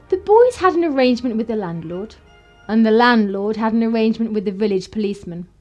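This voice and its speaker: An actress plays a spirited young upper-class Englishwoman of the 1930s in a radio drama. Her voice sits high and moves about in lively swings. She is arch and sardonic, with clipped period phrasing. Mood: wry, recollecting. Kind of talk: period radio play acting, narration and dialogue.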